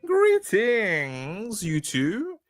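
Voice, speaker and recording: A man plays an old monk from a fantasy roleplay, pitched up and swooping. He is serene and formal, greeting people slowly with faint disdain. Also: wildly swooping pitch, dry recording, faint music behind, exaggerated voice. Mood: serene, disdainful.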